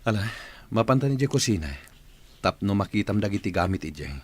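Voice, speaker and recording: Man acting animated Ilocano two-timing husband. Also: lowish voice, fairly quick, a little hiss or hum, lightly acted. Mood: indulgent. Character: cheating married man, indulgent and easily flustered. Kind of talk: Ilocano radio comedy drama acting.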